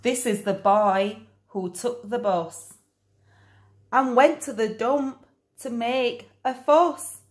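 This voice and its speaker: A woman reading a children's picture book aloud in an expressive, deliberate storytelling manner. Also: a little high, roomy sound. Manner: slow, animated, deliberate storytelling.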